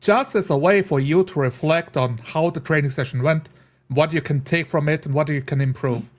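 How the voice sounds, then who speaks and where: Higher-pitched man chatting informally. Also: normal speed. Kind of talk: casual group discussion.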